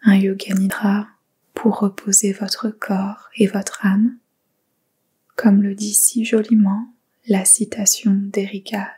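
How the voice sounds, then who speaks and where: A woman guiding a yoga nidra meditation in a soft whisper, giving slow, calm instructions. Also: mid-range voice, slightly rough. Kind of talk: guided meditation.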